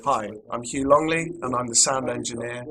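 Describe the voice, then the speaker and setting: A man's voice: mid-range voice, steady tone, moderate pace, bright, forceful tone. A man speaking as a sound engineer, giving an informal promotional introduction. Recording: crowd chatter in background.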